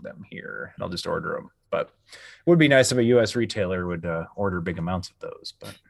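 A man with a low voice hosts a casual podcast chat. He feels his way through sentences in a hesitant, rambling manner. The sound is dry, with very little room to it. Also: expressive, a little fast, vocal fry, no echo. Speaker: podcast host.

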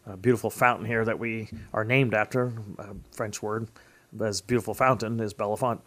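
A man with an American accent and a somewhat low voice, in his fifties. He speaks conversationally, like a local politician telling stories in an interview. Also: fairly even intonation, brisk, fairly bright tone. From Pennsylvania, United States. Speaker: state legislator being interviewed.

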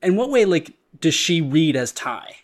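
A man's voice: on the high side, very animated, a little slow. A man interviewing a guest, asking curious, hesitant questions with many fillers.

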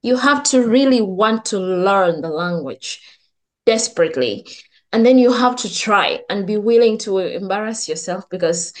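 A woman's voice: mid-range voice, lively intonation, normal speed. A woman, a nursing student and podcast guest, who talks casually with earnest restarts and self-corrections. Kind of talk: casual interview talk.

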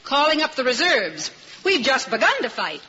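A plucky, motherly lady in a vintage radio play. Her voice is bright and very animated, with emphatic delivery. The recording is muffled, with some background noise. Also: high-pitched, normal speed, exaggerated voice. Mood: determined. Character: plucky, motherly married woman, resourceful ally. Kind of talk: 1950s radio drama acting.

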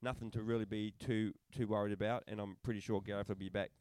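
A man's voice: fairly low pitch, little pitch movement, fast, a little mellow, dry recording. A middle-aged man co-hosting a podcast, recapping fights in casual, enthusiastic chat. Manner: enthusiastic recaps, many restarts and fillers. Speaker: podcast co-host.

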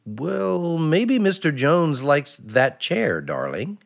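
A man's voice: on the high side, very animated, takes it slowly, creaky, exaggerated voice. A man playing an exasperated, flustered husband in 1940s radio comedy style. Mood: uneasy, placating.

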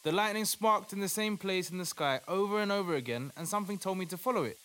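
A man's voice: sits high, fast, a bit bright, rough recording. An actor playing an earnest, devout male doctor who takes charge in an audio play. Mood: earnest, amazed. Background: music.

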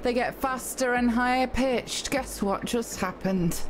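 A woman's voice: on the high side, measured pace, noisy background. A woman playing a tabletop game, speaking drily and knowingly in character. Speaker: player.

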